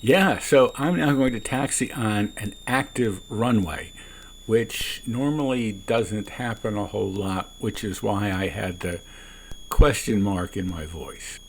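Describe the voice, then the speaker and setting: Male pilot narrator, deliberate and explanatory, low fry-edged delivery, small-plane cockpit with light noise. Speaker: private pilot narrating own flight. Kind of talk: calm explanatory cockpit commentary. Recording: noisy small-plane cockpit, radio transmissions.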